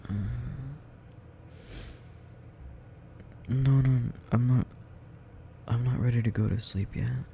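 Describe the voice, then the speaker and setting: A man's voice: medium pitch, steady tone, moderate pace, gravelly, warm tone, slight background noise, lightly acted. A young man playing a sleepy, needy, affectionate boyfriend in an intimate ASMR roleplay, murmuring drowsily and tenderly close to the microphone. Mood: drowsy, tender.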